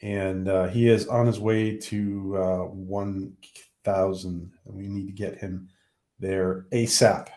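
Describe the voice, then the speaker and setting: Slow, low-voiced man hosting a livestream. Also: little pitch movement, mellow. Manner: halting, many ums and restarts. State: losing his voice. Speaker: livestream host. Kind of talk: casual livestream chat.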